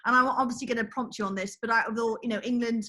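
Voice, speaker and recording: A woman's voice: fairly high pitch, fairly even intonation, quick pace, sharp tone. A woman sports reporter interviewing a footballer, who asks chatty, leading questions.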